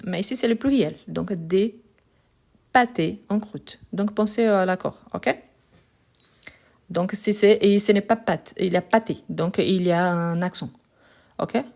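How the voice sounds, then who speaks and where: A woman French teacher slowly going through a written exercise, correcting mistakes and checking in with her students. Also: mid-range voice, gravelly, darkish tone. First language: Portuguese. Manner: patient, repeats words slowly, checks in with "ok?".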